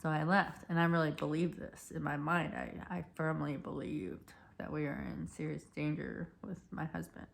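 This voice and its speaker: A woman in her thirties telling a personal story in a rambling, halting way, her voice hoarse and cracking. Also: low voice. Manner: rambling, halting, many restarts and fillers. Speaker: vlogger.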